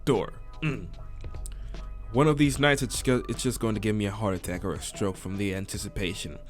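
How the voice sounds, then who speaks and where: A man narrating a visual novel as a let's player, informal, sometimes stumbling over the text and restarting. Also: fairly low pitch. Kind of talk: story narration with casual asides. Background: game soundtrack music.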